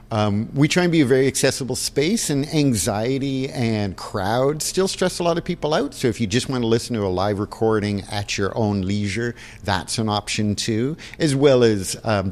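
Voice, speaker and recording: Halting man in a reverberant space. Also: lowish voice. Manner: halting, thinking aloud with repeated words. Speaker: interview guest, theatre production organizer. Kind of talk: conversational interview answers.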